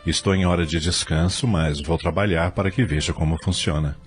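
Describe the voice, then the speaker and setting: A man playing an earnest, patient spirit records clerk in an audio novel, explaining in a measured, didactic way. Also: low-pitched, steady tone, soft background music, lightly acted. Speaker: guide at a spirit-world records centre.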